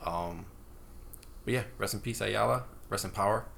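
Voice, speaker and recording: A man hosting a casual wrestling podcast, relaxed and steering the talk with "um" and "uh". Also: lowish voice, normal speed, creaky. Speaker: podcast host. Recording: faint background noise.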